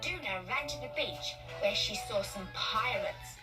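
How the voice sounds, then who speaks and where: High, animated woman storyteller over loud music. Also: measured pace. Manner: emphatic, playful storytelling. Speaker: children's story narrator. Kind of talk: expressive read-along storybook narration. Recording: distant-sounding, loud music behind.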